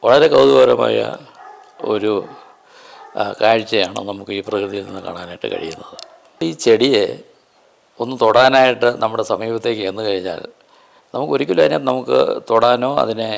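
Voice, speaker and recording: Low, creaky-voiced man explaining nature. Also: normal speed, long pauses. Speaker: nature presenter. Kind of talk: unscripted explanatory nature talk.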